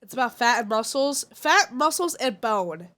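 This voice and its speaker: A woman's voice: on the high side, very animated, moderate pace, bright, forceful tone. Congested woman streamer, blunt and opinionated. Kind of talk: casual live-stream chat.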